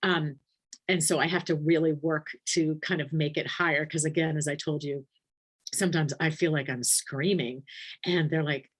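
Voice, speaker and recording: A woman with a very low voice, teaching a nursing webinar in a casual, thinking-aloud way with many ums and restarts. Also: fairly even intonation, normal speed. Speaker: palliative care nurse educator. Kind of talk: casual webinar teaching, reading chat questions.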